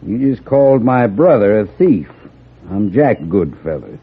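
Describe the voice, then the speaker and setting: Low-voiced, smug frontier sheriff. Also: male voice, big pitch swings, unhurried, slightly rough, exaggerated voice. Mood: smug, challenging. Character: smug, menacing small-town Western sheriff shielding his clan. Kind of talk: 1950s radio Western acting. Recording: tinny, noisy 1950s radio broadcast.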